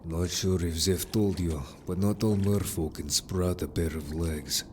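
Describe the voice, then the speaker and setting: A man's voice: sits low, fairly even intonation, unhurried, slight background noise. A man playing a protective, earnest merman in an audio story, speaking bluntly and a little formally to the listener. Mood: matter-of-fact. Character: gruff, protective male merfolk, loyal patrolling adventurer. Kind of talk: audio drama roleplay addressed to listener.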